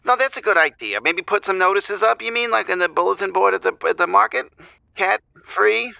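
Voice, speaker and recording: A man's voice: sits high, animated, brisk, vocal fry, pushed and bright, no echo, muffled recording, heavily acted. A man playing a meek, downtrodden pushover of a cat owner calling an advice show, hesitant and self-deprecating. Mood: tentatively hopeful. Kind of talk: improvised comedic phone-in.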